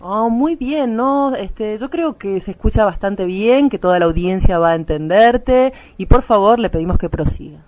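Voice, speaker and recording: A woman's voice: mid-range voice, expressive, normal speed, few pauses, a little mellow, no room sound, lightly acted. A woman playing a courteous, eager radio host with an Argentine accent in a satirical radio play. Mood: eager, earnest. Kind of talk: satirical radio theatre interview.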